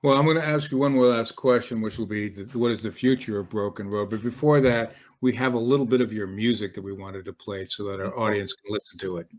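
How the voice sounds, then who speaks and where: A man's voice: on the low side, steady tone, quick pace, hardly any pauses. A man hosting an interview in a casual, conversational style. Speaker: host.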